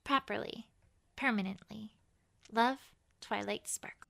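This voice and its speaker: A woman's voice: medium pitch, unhurried, gravelly, a bit bright. A woman voicing an earnest, bookish young female pony scholar in an emphatic, conversational letter reading for an audio drama. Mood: relieved, determined.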